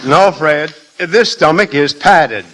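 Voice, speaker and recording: A man's voice: a little high, slow pace, a bit bright. A man as a good-natured, polite straight man being interviewed in a scripted 1930s radio show. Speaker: interview guest, department store Santa and former vaudevillian.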